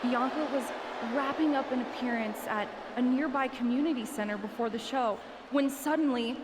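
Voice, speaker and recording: An actress playing a smug, scheming wrestler in a video game, with an American accent and sarcastic mock sincerity. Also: female voice, sits high, normal speed, background noise, close to an everyday voice. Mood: mock-sincere, taunting. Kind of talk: video game cutscene acting, wrestling promo.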